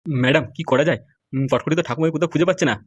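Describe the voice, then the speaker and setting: A dutiful cartoon underling, voiced by a woman, deferential while passing on reports. The voice is low and dry, and it races along very quickly. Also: no room sound. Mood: concerned, reporting. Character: dutiful underling relaying worried news to a madam. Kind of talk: comedy cartoon dialogue.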